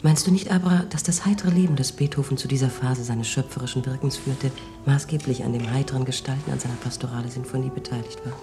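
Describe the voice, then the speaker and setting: An actress playing a cultured, earnest music lover in a radio play, talking in lofty, formal phrases. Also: female voice, rumbling low, fairly even intonation, fast, hardly any pauses. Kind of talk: 1970s radio play acting.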